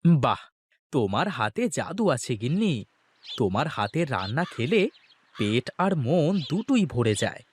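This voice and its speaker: A man's voice: medium pitch, sing-song, unhurried, slight background noise. A man voicing a humble, folksy village boatman and devoted husband in a cartoon, speaking expressively. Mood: affectionate. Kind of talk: cartoon voice acting in dialogue.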